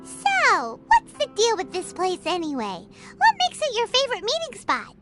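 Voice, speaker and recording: Squeaky excitable fairy companion. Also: female voice, moderate pace, over loud music, heavily acted. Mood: curious. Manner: chirpy, refers to herself in third person. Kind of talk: game cutscene voice acting.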